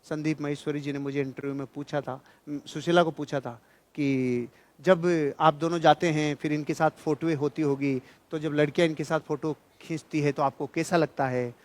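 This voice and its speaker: A man's voice: a little high, steady tone, normal speed, a little mellow. A man giving a motivational speech in an emphatic, admonishing manner, repeating phrases for effect. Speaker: motivational speaker. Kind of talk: motivational speech, preaching to an audience.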